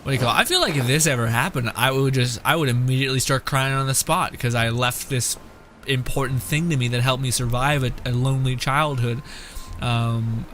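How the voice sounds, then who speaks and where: A man's voice: medium pitch, brisk, a bit bright, a little hiss or hum. A young man giving casual live game commentary in a jokey, rambling, sweary way. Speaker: game streamer. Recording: game music behind voice.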